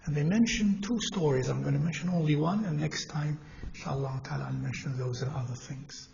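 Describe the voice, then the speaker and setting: A man teaching a congregation in a mosque, speaking in a measured, halting way with pauses and self-corrections, and putting questions to his listeners. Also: on the high side, creaky, lo-fi sound, crowd noise. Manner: asks the audience questions as he teaches. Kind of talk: Islamic lecture to a congregation. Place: mosque hall.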